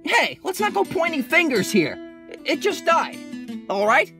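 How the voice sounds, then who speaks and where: A man playing an excitable, chatty, boyish friend in a fan audio drama, eager and playful. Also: very high-pitched, a little slow, music in the background, exaggerated voice. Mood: panicky.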